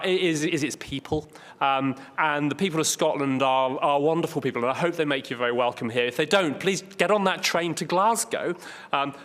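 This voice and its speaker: A man's voice: on the high side, fairly bright tone. A middle-aged man giving an informal keynote talk with dry, understated humour and a hesitant, wry delivery. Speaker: keynote speaker, medical oncologist. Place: large conference hall. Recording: echoey hall with long reverb.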